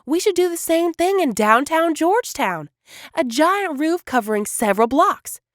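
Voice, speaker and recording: A woman's voice: high-pitched, sing-song, moderate pace, no echo, clean studio sound. A woman playing an eager, scheming small-town dreamer in a cartoon, chatty and enthusiastic. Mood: excited. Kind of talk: animated comedy dialogue.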